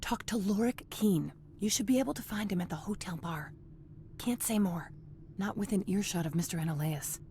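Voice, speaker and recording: Woman as a discreet corporate secretary, mid-pitched. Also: normal speed, dark tone, slight background noise, lightly acted. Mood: knowing, discreet. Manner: formal, discreet. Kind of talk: video game cutscene acting.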